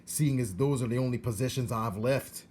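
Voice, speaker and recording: A man voicing a greedy, indignant old uncle who bursts out loudly and high. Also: fairly quick, slight background noise, close to an everyday voice. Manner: loud, exasperated outbursts.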